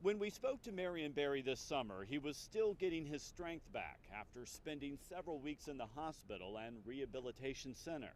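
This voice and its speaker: A man's voice: on the high side, animated, brisk, hardly any pauses, slight background noise. A man working as a TV news anchor and reporter, delivering scripted news narration.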